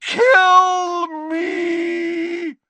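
A man's voice: extremely high pitch, completely flat delivery, extremely slow, sharp tone, no echo, raised voice, pitched above the performer's own voice, exaggerated voice. A man voicing a tormented old wizard professor in an audiobook, begging in agony with a strained, wailing voice. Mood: screaming anguish. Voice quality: strained, wailing, breaking into screams. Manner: moaning, begging repetition.

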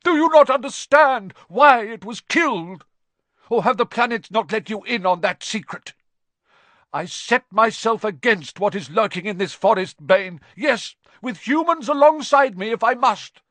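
A man's voice: mid-range voice, very animated, measured pace, no echo. A man voicing a noble young centaur in an audiobook, grave and protective, with formal, solemn delivery. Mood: defiant. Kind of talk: dramatized audiobook character voice.

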